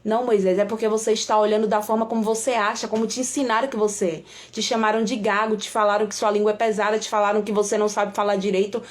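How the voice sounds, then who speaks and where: A woman's voice: medium pitch, little pitch movement, fast talker, hardly any pauses. A woman preacher with a Brazilian accent, giving devotional preaching and prayer in an emphatic, repetitive way, often calling on God.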